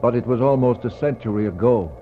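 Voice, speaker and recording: An actor playing a brooding polar explorer in a 1960s poetic radio drama, speaking in a solemn, declamatory way with dramatic pauses. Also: male voice, medium pitch, lightly acted. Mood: grim, haunted. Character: brooding male polar explorer searching for a lost expedition. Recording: dull, noisy 1960s radio recording.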